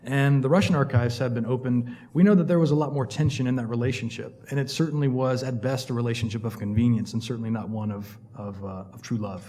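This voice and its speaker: Fast-talking mellow male panelist. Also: mid-range voice, steady tone. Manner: fast, lecturing, filler-heavy. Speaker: panelist, Cuba expert. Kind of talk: panel discussion.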